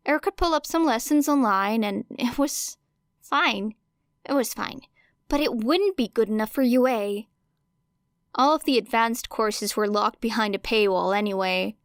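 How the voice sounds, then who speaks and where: A woman reading fan fiction as an audiobook narrator, with an expressive, wry and dramatic delivery. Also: a little high, dry recording, studio quality.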